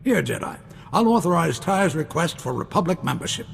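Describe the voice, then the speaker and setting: A man's voice: fairly high pitch, big pitch swings, a little fast, vocal fry, full character voice. An actor playing a polished, admiring male government official in a video game. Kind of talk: video game dialogue acting. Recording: long hall reverb, music behind.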